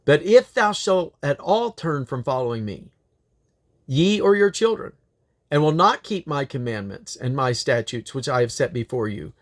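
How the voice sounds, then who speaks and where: A man reading scripture aloud in a solemn, declamatory way, with the occasional slip and correction. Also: on the high side. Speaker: Bible reader.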